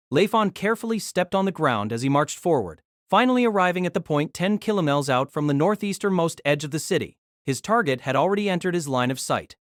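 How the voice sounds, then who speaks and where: A man's voice: high-pitched, dry recording, clean studio sound. A man reading scripted narration for a fantasy story. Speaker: narrator of a fantasy audio drama.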